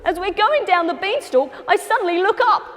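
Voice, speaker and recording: Woman voicing an excitable, very high-pitched boy puppet. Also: exaggerated voice. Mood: frantic. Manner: exclamatory, dramatic, drawn-out storytelling with sound effects. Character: excitable young boy puppet telling his adventure. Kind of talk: children's puppet storytelling. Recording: echoey hall with music.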